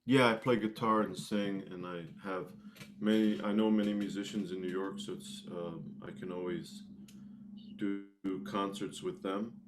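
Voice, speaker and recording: A man chatting casually as an interview guest, with hesitant, halting phrasing. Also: fairly low pitch, steady tone. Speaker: guest, musician and martial arts instructor.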